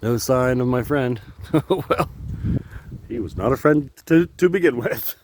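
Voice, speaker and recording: A man's voice: mid-range voice, lively intonation, a little slow, a little hiss or hum. A man vlogging outdoors on a walk, rambling, swearing and laughing at his own jokes. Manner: jokey, profane, laughs often.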